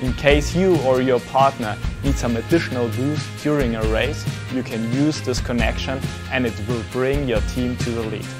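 A man reading a scripted product promo in a brisk, clean manner. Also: mid-range voice. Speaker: product presenter. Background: guitar music.

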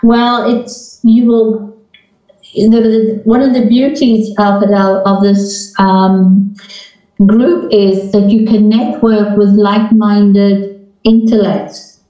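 An older woman hosting an English practice group on a video call, chatty and encouraging, sometimes gently interrupting. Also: mid-range voice, level tone, slow pace. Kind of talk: casual video call chat. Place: small indoor room. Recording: echoey, distant, dull video call audio.

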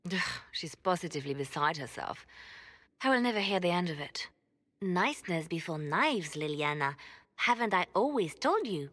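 Low-voiced spymaster in a reverberant hall. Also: female voice, sing-song, a little slow, a bit bright, exaggerated voice. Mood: wry amusement. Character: composed female spymaster, wry and reflective. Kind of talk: video game dialogue.

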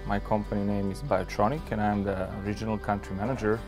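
A man's voice: on the low side, little pitch movement, fast. A man in his forties with a Serbian accent, an MBA student, speaking carefully and a little formally. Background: music.

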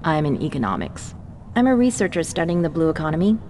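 A woman's voice: low-pitched, big pitch swings, quick pace, a little mellow, in a large hall, a little hiss or hum. A woman playing a poised, ambitious researcher in a video game, polite, composed and sure of herself. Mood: self-assured. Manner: polite, composed, self-certain.

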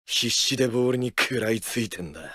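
An actor playing a rough, blunt, passionate high school ballplayer in a baseball game. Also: male voice, animated, fast, over loud music, natural voice. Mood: gruff defiance. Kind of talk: anime-style game character lines.

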